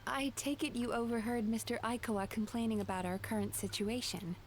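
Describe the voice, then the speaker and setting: Woman as a poised, high-voiced spirit innkeeper. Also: steady tone, fairly quick, a little hiss or hum, close to an everyday voice. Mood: apologetic. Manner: polite, poised. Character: composed, gracious young woman dream-eater spirit innkeeper.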